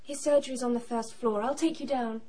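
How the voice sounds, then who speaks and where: An actress playing a polite, businesslike employee at a dental surgery in a radio drama. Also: female voice, a little high, fairly even intonation, brisk, mellow, natural voice. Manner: apologetic, businesslike.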